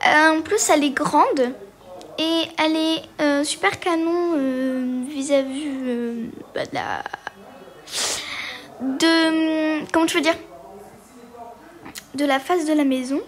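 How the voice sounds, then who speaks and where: High-voiced woman chatting through a game. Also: animated, slow, long pauses. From Portugal. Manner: hesitant, many fillers, chatty. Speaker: gaming video creator. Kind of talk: casual game walkthrough commentary.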